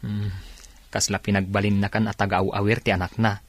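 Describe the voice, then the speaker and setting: Husky, grumbling young husband. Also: male voice, lowish voice, level tone, brisk, no echo. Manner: complaining, sceptical. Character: grumbling young husband, village radio drama. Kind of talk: Ilocano radio drama acting.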